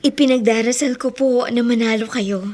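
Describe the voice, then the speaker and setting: A woman's voice: fairly high pitch, fairly even intonation, moderate pace, darkish tone, natural voice. A Filipino woman acting in a radio drama as a polite, meek young housemaid. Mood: meek, tender.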